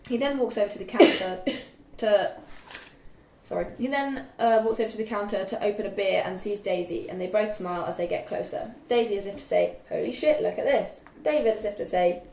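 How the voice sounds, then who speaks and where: A woman's voice: medium pitch, brisk, gravelly, darkish tone. A young woman reading scripted stage directions as narrator at a table read, a little distant in an echoey room. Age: young adult. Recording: echoey room, distant mic, faint noise.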